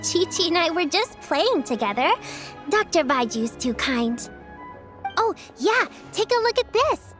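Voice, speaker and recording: A woman's voice: extremely high pitch, sing-song, unhurried, a bit bright, heavily acted. A woman voicing a cheerful, caring little girl in a video game, sweet and earnest with a childlike voice. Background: music.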